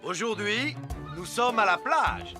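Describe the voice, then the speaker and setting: A man's voice: sits high, expressive, slow pace, music in the background. A man voicing a cheery, accident-prone young man in a cartoon. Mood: explaining. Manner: cheery, boastful.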